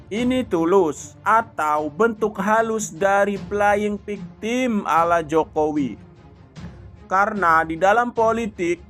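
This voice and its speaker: A man delivering scripted political commentary narration in a measured, deliberate way. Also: sits high, sharp tone. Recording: faint background music.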